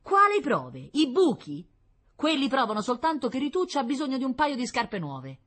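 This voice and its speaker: A brusque, sceptical mother with a sharp tongue as a woman storyteller plays her. She scolds curtly in a husky voice with lively swings of pitch. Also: fairly quick. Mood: defiant, protective. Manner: scolding, curt.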